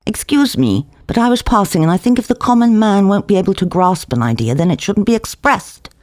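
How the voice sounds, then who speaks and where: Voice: mid-range voice, big pitch swings, a little fast, dry recording, pitched above the performer's own voice, close to an everyday voice. A narrator voicing a blunt, opinionated middle-aged woman passing by.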